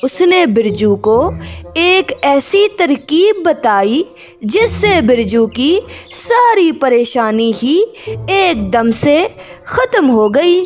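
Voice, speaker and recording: Female children's story narrator, high voice, swooping intonation, extremely unhurried, music underneath. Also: sing-song.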